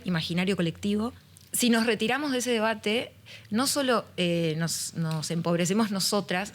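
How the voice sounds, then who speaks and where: A woman hosting a podcast conversation, earnest and full of fillers and restarts. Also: fairly low pitch. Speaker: podcast host. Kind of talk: conversational interview.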